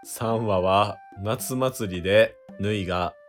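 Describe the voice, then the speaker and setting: A man's voice: on the low side, slow pace, faint music behind. A man with a Kansai accent co-hosting a radio drama, chatting and recapping in a jokey, informal way. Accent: Kansai Japanese. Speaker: radio drama co-host and performer.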